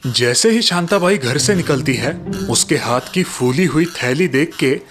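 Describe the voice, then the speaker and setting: A man's voice: a little high, animated, unhurried, over music. A man reading scripted narration for a cartoon story.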